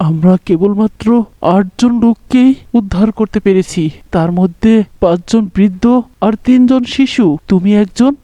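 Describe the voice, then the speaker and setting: A man voicing a kind, gentle doctor in a cartoon, speaking softly and reassuringly. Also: high-pitched, moderate pace, no echo, lightly acted. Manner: gentle, soothing. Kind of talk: cartoon voice acting.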